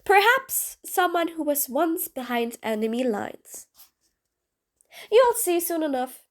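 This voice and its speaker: A cool-headed female supervillain, as a woman plays her, blunt and sardonic, giving orders in clipped lines. Her voice sits high and swings widely in pitch. An audio roleplay with a commanding edge. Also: big pitch swings, slow pace, heavily acted. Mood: brisk. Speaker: voice actor. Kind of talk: audio roleplay, one side of a dialogue.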